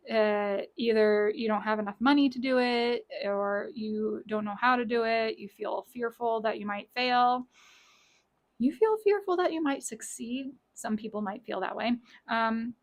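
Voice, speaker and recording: A woman with a raspy, scratchy voice giving a casual tarot reading from her small home office, chatty and repeating key lines. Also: fairly high pitch. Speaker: tarot reader.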